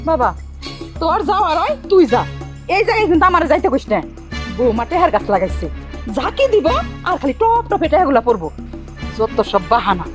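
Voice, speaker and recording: An actress playing a sharp-tongued, mocking village mother-in-law, speaking. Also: sits high, very animated, fairly quick, music in the background. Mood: scornful. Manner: mocking, dismissive.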